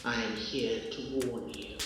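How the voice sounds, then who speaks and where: A man playing a tormented, mournful ghost of a dead businessman in an audio drama, declaiming gravely and theatrically through ghostly reverb. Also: medium pitch, fairly even intonation, unhurried. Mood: grave warning. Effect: heavy ghostly reverb. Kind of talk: audio drama acting of Victorian literary dialogue. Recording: cavernous long reverb, distant, loud noise.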